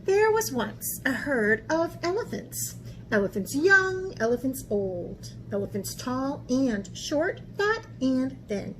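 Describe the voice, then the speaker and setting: A woman hosting a children's picture-book read-aloud as an art teacher, speaking in an animated way and putting questions to young listeners. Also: sits high, slow pace, slight background noise, rough recording.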